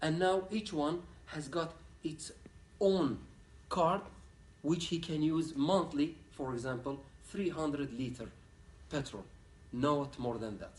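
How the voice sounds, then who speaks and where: A man from Ahwaz gives a conference lecture as a human rights activist. He speaks in earnest, halting English. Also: a little high, darkish tone, a little hiss or hum. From Ahwaz, southwestern Iran. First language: Arabic. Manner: earnest, plain-spoken, halting non-native English. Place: university lecture room. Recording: echoey room, picked up from a distance.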